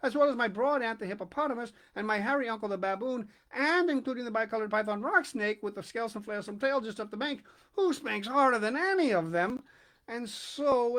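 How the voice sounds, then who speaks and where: A man's voice: expressive, fairly quick, fairly bright tone, full character voice. A man voicing a small, polite and curious young elephant calf in a storybook. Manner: high, polite, eager.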